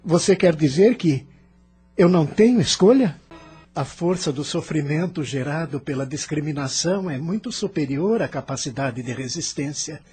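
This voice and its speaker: A man's voice: a little high, animated, normal speed, a little mellow, slightly rough. A Brazilian man acting a bitter, self-justifying spirit in the afterlife in an audio drama, defensive and questioning. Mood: aggrieved, protesting. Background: music.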